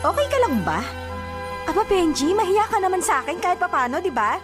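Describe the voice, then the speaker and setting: A woman's voice: extremely high pitch, sing-song, a little fast. A Filipino-accented woman playing a jealous, easily offended young girlfriend in a radio drama, with a confrontational, sarcastic manner. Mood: angry, jealous. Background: background music.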